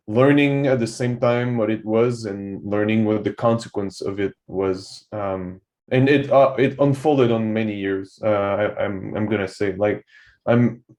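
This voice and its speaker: A man's voice: fairly low pitch, darkish tone. A young man from Quebec with a French-Canadian accent, French his first language, talking casually as a guest on a video call and rambling with lots of filler phrases. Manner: loose and rambling, fills with "so on and so forth". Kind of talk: casual podcast conversation.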